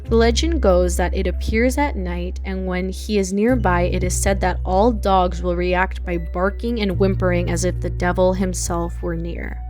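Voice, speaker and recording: A woman's voice: on the low side, darkish tone. A young woman hosting a folklore channel, narrating an explainer with chatty asides, filler words and amused comments on close home mic. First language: English. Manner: chatty, laughs at odd details, many fillers. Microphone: close home mic with audio pops.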